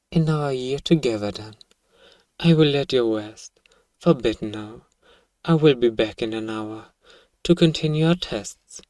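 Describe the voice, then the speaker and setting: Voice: mid-range voice, darkish tone, dry recording, recorded from a distance, natural voice. Performer acting as an alien researcher, ASMR roleplay, formal, clinical yet coaxing, puzzled by humans, dry sound, slightly off-mic. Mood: satisfied, businesslike. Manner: formal, measured scientific phrasing addressed to a listener. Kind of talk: ASMR audio roleplay monologue.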